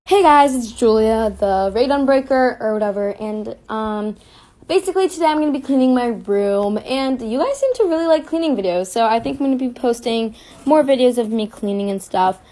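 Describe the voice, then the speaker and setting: A woman's voice: fairly high pitch, animated, brisk, dark tone. A young woman doing a casual, unscripted voice-over for cleaning videos, rambling and interrupting herself.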